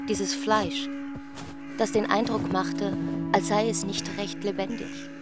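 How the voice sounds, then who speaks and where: A woman narrates a literary radio play in a measured, grave manner. She speaks very slowly at a middle pitch, and loud music runs beneath her voice. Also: level tone.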